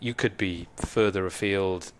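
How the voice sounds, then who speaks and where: A man whose first language is Welsh, giving calm, measured ball-by-ball cricket commentary. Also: low-pitched. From Wales.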